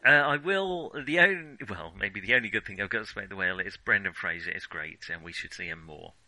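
A man's voice: fairly low pitch, expressive, fast talker, pushed and bright, no room sound, low-quality recording. A man co-hosting a film podcast in a chatty, wry, conversational way. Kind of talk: casual chat.

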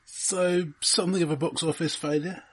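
A man's voice: a little high, steady tone, normal speed, slightly rough. A man co-hosting a podcast, chatting casually about films with dry, understated humour. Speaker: podcast co-host. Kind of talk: casual film discussion.

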